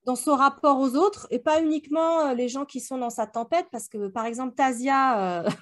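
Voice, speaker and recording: A woman with a high-pitched voice co-hosts a relaxed book discussion. Her talk is colloquial and playful, quick to turn toward a joke. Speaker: book club podcast co-host. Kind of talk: casual discussion of an audio drama.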